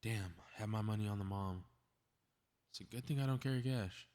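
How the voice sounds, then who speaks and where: A man's voice: lowish voice, moderate pace, darkish tone, lightly acted. A man acting a cocky, mischievous young male superhero in a scripted ASMR audio drama, muttering sarcastic, casually profane asides. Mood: sarcastic, irritated.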